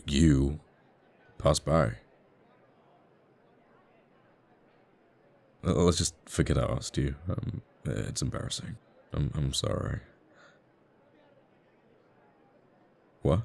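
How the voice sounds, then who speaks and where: A man playing a flirty, tender fighter pilot in a romantic ASMR roleplay, stammering bashfully and haltingly. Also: extremely low pitch, gravelly, a little mellow, exaggerated voice. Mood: embarrassed, hopeful.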